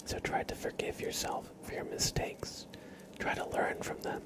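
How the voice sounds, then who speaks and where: A man speaking in a breathy whisper, gently and reassuringly, in halting phrases as an ASMR streamer giving positive affirmations.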